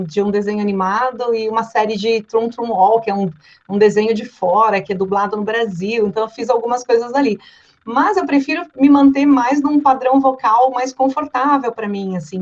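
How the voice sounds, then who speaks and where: A Brazilian woman, a professional voice-over artist, chatting casually and explaining her work from experience. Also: a little high. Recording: slightly dull, muffled audio.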